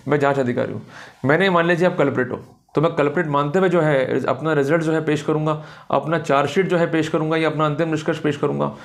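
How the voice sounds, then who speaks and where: A man with an Indian accent giving an explanatory law lecture, addressing his listeners directly in an emphatic, didactic way and mixing in English legal terms. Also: fairly high pitch.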